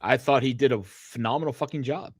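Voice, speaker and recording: A man's voice: mid-range voice, fairly quick, husky, no echo, clean studio sound. Male podcast co-host, mid-range voice, blunt, emphatic, casual opinionated talk, very little room sound.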